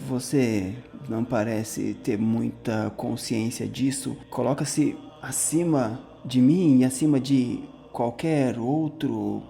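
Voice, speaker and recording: A defiant male priest, played by a man at a game table, speaks very slowly in a dark, mellow, gravelly voice. He is dry and sarcastic, choosing each word in this audio drama. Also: medium pitch. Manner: deliberate, halting, sarcastic.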